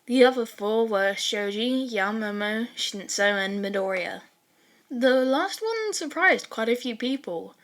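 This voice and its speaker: A woman's voice: on the high side, animated, measured pace. A woman reading a fan fiction story aloud as scripted narration.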